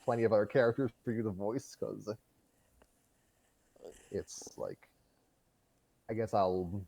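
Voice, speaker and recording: A man hosting a casual live chat in a halting, hesitant way. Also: fairly low pitch, vocal fry, dark tone. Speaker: host.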